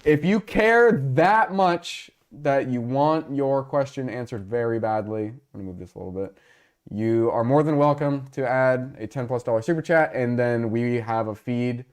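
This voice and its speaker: A man's voice: medium pitch, normal speed. A man hosting a casual livestream, rambling and joking as he talks to his chat on a lapel mic. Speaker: livestream host.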